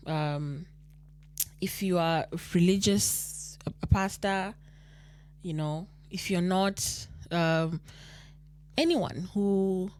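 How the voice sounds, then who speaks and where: Zimbabwean woman, low easygoing voice. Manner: relaxed, repeats phrases, mixes Shona into English. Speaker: podcast co-host. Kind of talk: casual chat, reading listener letters.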